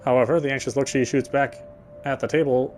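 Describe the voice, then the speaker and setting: An actor playing a guarded, dry-witted young man who cares quietly, speaking his inner thoughts and dialogue in a wry, introspective way. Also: mid-range voice, level tone, a little fast, faint music behind, close to an everyday voice. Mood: reflective. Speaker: game protagonist. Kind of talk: first-person inner monologue and dialogue.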